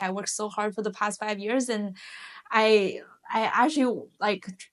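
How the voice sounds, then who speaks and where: A woman's voice: mid-range voice, fairly bright tone. Earnest young woman with filler words. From China. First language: Chinese. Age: 20s. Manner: earnest, many fillers and restarts. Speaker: guest. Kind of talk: casual interview conversation.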